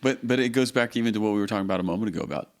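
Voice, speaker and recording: Low-voiced man hosting a podcast interview. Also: racing. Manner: friendly questions, affirming interjections. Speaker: podcast host. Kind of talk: casual interview chat.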